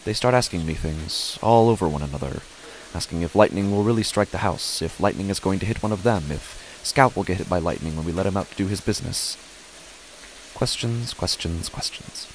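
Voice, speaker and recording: A man plays a father narrating a first-person horror tale. His voice is very low and he speaks in deliberate, short phrases. A faint hiss lies behind the words. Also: poor audio. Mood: sombre, tense. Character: father narrating his family's uneasy stormy night.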